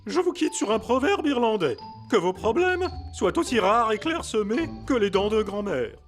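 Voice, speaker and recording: Chirpy high-pitched pigeon mailman. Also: very animated, normal speed, faint music behind. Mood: cheerful, busy. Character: cheerful male pigeon mailman, chatty and folksy. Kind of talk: French animated film dubbing.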